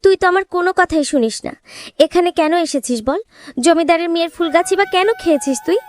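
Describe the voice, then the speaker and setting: A woman's voice: very high voice, a little fast, slight background noise, pitched above the performer's own voice. A woman voicing a poor village girl in a fairy-tale cartoon, earnest, insistent and imploring. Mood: scolding, worried. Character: pleading poor village girl, devoted to her cow.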